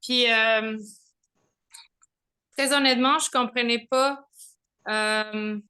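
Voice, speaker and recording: A woman's voice: fairly high pitch, steady tone, slow, pushed and bright, rough recording. A young woman from Mexico, a mother, giving a chatty testimony from home over a video call, full of fillers and small laughs. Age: young adult. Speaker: testimonial speaker. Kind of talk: casual spoken testimony. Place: home, with children nearby.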